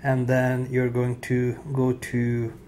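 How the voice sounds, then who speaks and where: A man hosting a tech tutorial, talking casually off camera in an indoor room with frequent fillers and pauses. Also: mid-range voice, slight background noise. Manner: relaxed, halting with frequent "uh" fillers. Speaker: tech video host.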